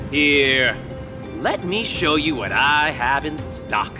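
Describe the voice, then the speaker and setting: High-pitched, boisterous weapons merchant. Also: male voice, animated, extremely slow, over music. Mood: flattering. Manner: showy salesman patter. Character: boisterous, fawning male weapons merchant hawking wares. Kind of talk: video game voice acting.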